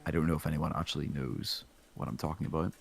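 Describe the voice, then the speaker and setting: A man from outside the United States speaking casually in a group discussion, tentative and quick to correct himself. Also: extremely low pitch, steady tone, gravelly, slight background noise.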